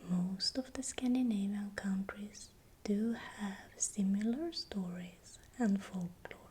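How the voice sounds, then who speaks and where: A woman with a Swedish accent whispering breathily and deliberately in short, soft phrases, as the host of an ASMR video. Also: medium pitch, breathy edge. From Sweden. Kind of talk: whispered ASMR, explanatory narration.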